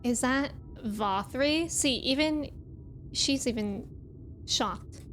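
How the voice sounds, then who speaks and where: A woman's voice: high-pitched, slow pace, fairly bright tone, soft background music. A woman chatting casually and playfully as she livestreams a video game, reacting and greeting viewers.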